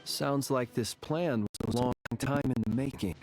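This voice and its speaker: An actor playing a composed, courteous warrior ally in a fantasy video game, speaking in a polite, measured manner. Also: male voice, medium pitch, darkish tone, close to an everyday voice. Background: game music.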